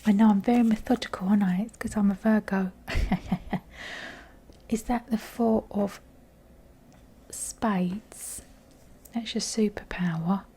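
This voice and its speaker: A woman with a very husky, breathy voice that often drops to near whisper. Her tone is soft and mellow, a little croaky and low on energy. She reads tarot for viewers in an intimate, informal way, with affectionate address and chatty asides. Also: steady tone, slow pace, pauses often. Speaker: tarot card reader and channel host.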